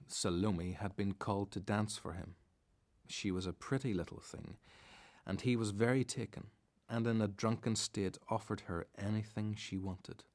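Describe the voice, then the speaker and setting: An actor playing a devoted, reflective disciple who narrates his own story in a biblical radio drama, solemn and measured. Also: fairly low pitch, close to an everyday voice. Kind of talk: dramatized first-person narration.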